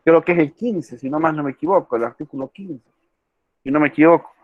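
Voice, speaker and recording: A man's voice: fairly high pitch, brisk, no echo. Male law lecturer, repeats key terms, muffled lo-fi recording. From El Salvador. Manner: quizzes students by name, repeats key words. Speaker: law professor. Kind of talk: online class lecture.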